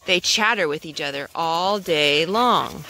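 A woman's voice: deep voice, a little slow, pushed and bright. A woman, a mother reading a picture book outdoors and chatting to her young child in a child-directed way. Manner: sing-song, child-directed. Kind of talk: informal read-aloud and chat with a young child. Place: outdoors in a forest. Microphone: handheld camera held by the speaker. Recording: clearly noisy outdoor handheld recording.

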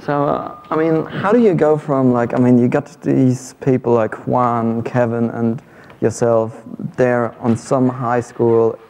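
A man with a German accent, a native German speaker, interviewing a guest on stage with measured questions and dry humor. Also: medium pitch, flat delivery, darkish tone. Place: hall with an audience. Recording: long hall reverb.

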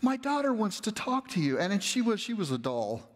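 A middle-aged man, a pastor, telling a story in a lively, conversational sermon while moving around a large church stage. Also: sits high, brisk, big-room sound. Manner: animated, conversational, gestures while walking the stage.

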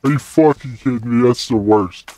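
A man's voice: mid-range voice, normal speed, dark tone. A man in casual, crude, playful banter while gaming online, full of slang. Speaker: gaming video creator. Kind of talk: casual joking banter during online game. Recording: faint noise, game sounds.

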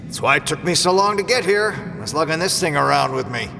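A hot-headed mercenary pilot for an audio drama. He is blunt and impatient, with a fairly high voice that swings widely in pitch. It rings in a long reverb. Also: expressive, quick pace, vocal fry. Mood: wary, irritated. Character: gruff, hot-headed, suspicious male mercenary robot pilot. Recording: long reverb, rumbling vehicle noise behind.

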